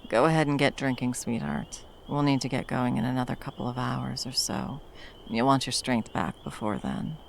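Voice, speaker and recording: A woman playing a battle-worn monster hunter, gruff but tenderly protective, in an intimate ASMR roleplay with soft teasing and drops into whispers. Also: rumbling low, expressive, normal speed, a little hiss or hum, full character voice. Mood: caring, relaxed.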